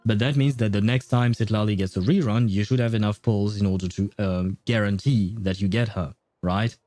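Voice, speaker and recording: A man livestreaming a video game, chatting casually with viewers in a rambling, halting way. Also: on the low side, fairly even intonation, dark tone, no room sound, faint music behind. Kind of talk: casual livestream chat and commentary.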